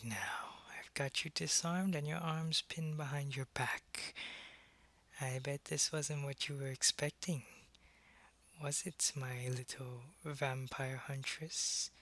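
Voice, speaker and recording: A man playing a suave, possessive, centuries-old vampire in an ASMR roleplay, taunting and condescending, often in a breathy half-whisper. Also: fairly high pitch, pushed and bright, full character voice. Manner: slow, deliberate, taunting, condescending.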